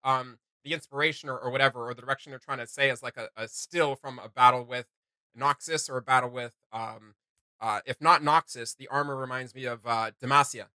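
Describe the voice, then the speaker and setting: Bright-voiced man rambling enthusiastically. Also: mid-range voice, steady tone, a little fast, smooth, no echo. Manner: enthusiastic, rambling, thinking aloud. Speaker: host of a reaction channel. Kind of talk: casual reaction commentary.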